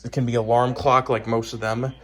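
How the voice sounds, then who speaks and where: A man's voice: medium pitch, level tone, a little fast. Guy doing a casual collection show-and-tell, kinda rambly and hesitant, plain talk, unscripted. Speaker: hobbyist collector presenting weather radios.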